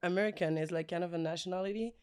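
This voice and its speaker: A woman's voice: lowish voice, steady tone, rapid-fire, a little mellow, clear, resonant tone. A young woman from Belgium, a guest speaking thoughtfully in a casual podcast interview.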